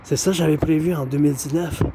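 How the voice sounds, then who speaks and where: Québécois man, warm higher voice, repetitive outdoor monologue, light traffic behind. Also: steady tone, fast. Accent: Québécois French. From Quebec. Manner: repetitive, circling back to phrases. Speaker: vlogger. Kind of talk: rambling monologue to camera.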